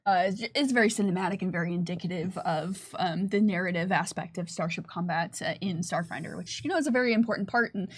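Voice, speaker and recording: A woman's voice: on the low side, fast talker, few pauses, slightly rough. A woman presenting a livestream as a game developer, chatting casually in a playful, teasing way.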